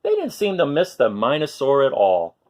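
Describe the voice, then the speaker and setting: Male children's storyteller, very lively pitch, expressive read-aloud style, talks directly to kids with simple questions and explanations. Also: moderate pace.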